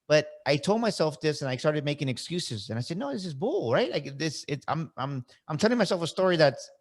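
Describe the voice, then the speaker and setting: A man's voice: a little high, very animated, a little fast. A man in his forties hosting a podcast interview, speaking fervently and repeating phrases for emphasis. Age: 40s. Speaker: podcast host. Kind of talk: motivational interview talk.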